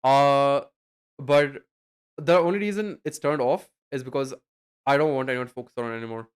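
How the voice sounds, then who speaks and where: A man's voice: medium pitch, normal speed, clear, resonant tone. Rambling young man chatting to viewers. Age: young adult. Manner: rambling, filler-heavy, swears casually. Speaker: streamer. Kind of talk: casual stream chat reading chat.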